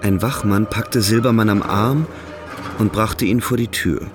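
Male narrator, fairly low voice, scripted radio play storytelling, music underneath. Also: a little slow.

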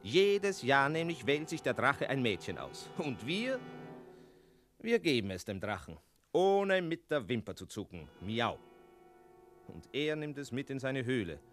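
A man playing a talking cat who narrates a fairy tale in a theatrical radio play, dramatic and full of pointed pauses. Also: medium pitch, sing-song, fairly bright tone, faint music behind. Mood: wry, ominous.

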